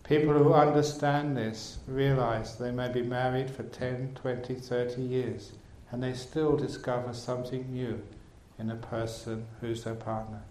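A male monk teaching in calm, measured phrases with pauses between them. His voice is mellow and dark, and the room adds a clear echo. There is a faint hum of ambience behind him. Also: mid-range voice. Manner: measured and unhurried, pausing in short phrases. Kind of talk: dharma talk with an interpreter. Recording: roomy echo.

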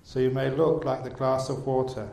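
Measured monk in a roomy hall. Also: male voice, medium pitch, monotone, creaky, dark tone. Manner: measured and unhurried, pausing in short phrases. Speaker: Buddhist monk teacher. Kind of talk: dharma talk with an interpreter. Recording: roomy echo.

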